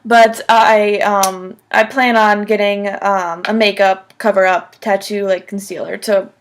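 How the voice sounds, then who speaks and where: A woman's voice: mid-range voice, flat delivery, measured pace. A woman in her twenties answering job interview questions on the phone in a casual, conversational way, often saying "like," recorded at a desk in a small room. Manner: casual, frequent "like" as filler. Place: small room at home, indoors.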